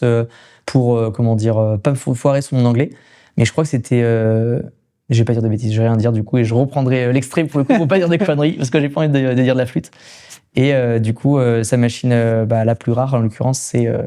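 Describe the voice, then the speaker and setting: Fast-talking mellow Frenchman telling stories. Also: mid-range voice. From France. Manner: animated storytelling with slangy English loanwords. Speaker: podcast guest, gym equipment coach and reseller. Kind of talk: casual anecdotal interview chat.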